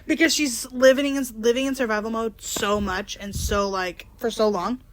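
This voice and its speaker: A young woman with a slightly phlegmy voice co-hosting a casual book podcast, blunt and quick to swear. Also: on the high side, steady tone. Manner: blunt, swears freely, laughs easily. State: a bit phlegmy. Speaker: podcast co-host. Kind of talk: casual book club chat.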